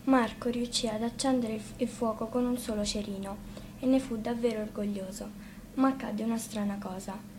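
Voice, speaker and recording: A woman reading a fairy tale aloud as an expressive storybook narrator. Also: a little high, normal speed. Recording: faint noise, live radio reading.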